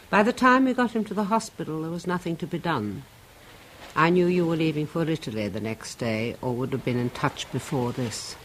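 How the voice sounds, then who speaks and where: A grieving widow. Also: female voice, low-pitched, a little hiss or hum, rough recording. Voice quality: earnest, restrained emotion. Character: grieving woman, the dead man's widow. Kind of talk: 1970s radio drama acting.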